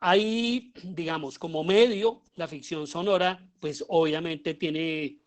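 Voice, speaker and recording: A man with a Colombian accent giving an explanatory lecture as a teacher, often pausing and posing rhetorical questions. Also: fairly high pitch. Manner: halting, with pauses and rhetorical questions. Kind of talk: explanatory lecture on writing audio fiction.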